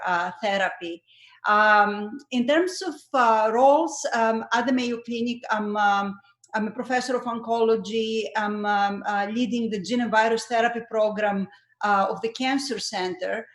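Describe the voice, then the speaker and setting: A woman, introducing herself on a panel in a measured way, with frequent hesitations, over a video call. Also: mid-range voice, a bit bright, echoey room. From Greece. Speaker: oncology professor and panelist.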